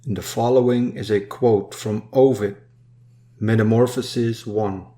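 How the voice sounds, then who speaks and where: A man reading a dark narrative poem in a solemn, measured storytelling manner. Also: fairly low pitch, fairly even intonation. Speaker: poem reader. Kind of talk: solemn scripted poetry recitation.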